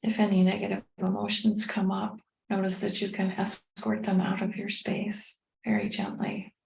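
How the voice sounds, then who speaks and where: A woman leading a guided meditation as a teacher, speaking in a gentle, unhurried, soothing way with pauses. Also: medium pitch, monotone, vocal fry. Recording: roomy, echoing sound.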